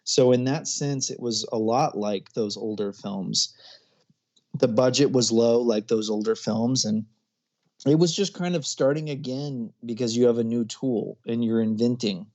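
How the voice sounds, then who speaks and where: A man's voice: mid-range voice, a little slow, darkish tone. A man in his thirties, a filmmaker guest in a casual interview, chatty and often laughing as he talks. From grew up overseas, American parents.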